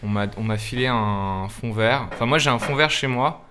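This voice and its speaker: A man's voice: mid-range voice, moderate pace, pushed and bright, a little hiss or hum. A man speaking as a guest in a casual radio chat, hesitant and often correcting himself. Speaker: interview guest, web series creator.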